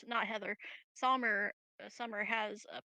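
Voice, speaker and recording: Bright-voiced woman playing a tabletop game. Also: mid-range voice, steady tone, moderate pace, dry recording. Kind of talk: casual chat.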